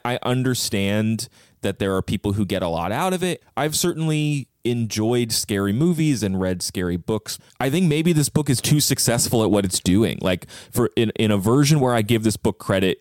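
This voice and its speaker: A man chatting casually as a podcast guest, reflective and often hedging as he thinks out loud. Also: on the low side, lively intonation, normal speed, clear, resonant tone, no echo, studio quality. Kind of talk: casual book discussion.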